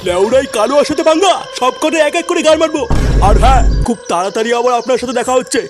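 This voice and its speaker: A man's voice: very high voice, animated, fast talker. A man voicing a vengeful, foul-mouthed young thug in a comic cartoon, swaggering and threatening. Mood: menacing. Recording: music behind the voice.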